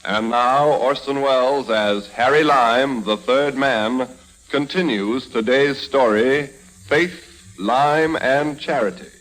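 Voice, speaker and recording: A man's voice: mid-range voice, slow pace, low-quality recording. A man announcing a vintage radio drama in a scripted style.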